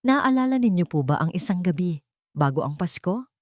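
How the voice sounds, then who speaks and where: A woman's voice: on the low side, sing-song, normal speed, a little mellow, no echo, studio quality. A woman voicing a serious, determined man bent on justice, speaking formally and insistently in an audio drama. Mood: grave, persuasive.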